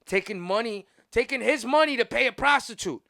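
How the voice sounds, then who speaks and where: A man's voice: extremely high pitch, animated, a little fast, vocal fry, bright, forceful tone, no room sound, clean studio sound. An American man hosting a podcast, ranting casually about politics in an argumentative, emphatic way with heavy slang and swearing. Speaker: podcast host.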